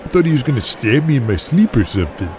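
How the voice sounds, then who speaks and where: A man's voice: medium pitch, sing-song, quick pace, background noise, voice pushed up, natural voice. A man voicing a rattled but laid-back pro wrestler in an audio drama, speaking with slangy emphasis. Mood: alarmed.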